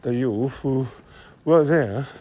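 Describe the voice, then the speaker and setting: A man with a Japanese accent thinking aloud in a halting monologue while walking city streets, speaking into a phone, full of fillers and restarts. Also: mid-range voice, mellow. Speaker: podcast host. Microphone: phone held close.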